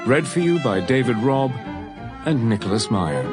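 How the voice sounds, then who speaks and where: A man's voice: medium pitch, very animated, normal speed. A man announcing the title and credits of an audiobook. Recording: loud violin music behind. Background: violin music.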